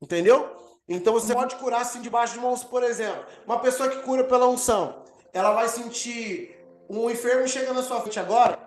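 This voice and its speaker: A man with a Brazilian accent, an apostle teaching a healing course over a video call, energetic and emphatic as he answers questions. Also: extremely high pitch, fast. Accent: Brazilian Portuguese. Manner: energetic preacher, swells and emphasizes key words. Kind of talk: live online teaching with Q&A.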